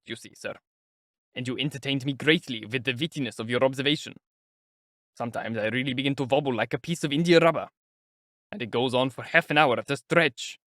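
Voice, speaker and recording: A man's voice: mid-range voice, a little fast, a bit bright, no room sound, clean studio sound, natural voice. Man playing a fussy, sly, jovial investigator. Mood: fussing, effusive. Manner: clucking, fussing, ingratiating. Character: fussy, slyly jovial male investigator, effusive and nervy. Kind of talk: audiobook character acting.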